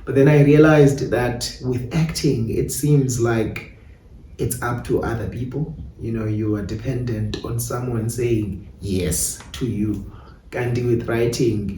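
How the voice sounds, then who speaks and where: Casting: South African male theatre-maker, soft dark tone, reflective interview delivery, roomy off-mic sound. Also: on the low side, recorded from a distance. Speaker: playwright and director being interviewed. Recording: echoey room, off-mic, faint noise.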